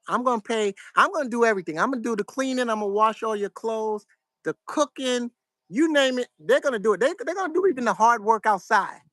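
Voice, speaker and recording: Soft-spoken New York man, dry sound. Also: high voice, no room sound. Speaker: vlogger. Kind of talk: casual advice to viewers.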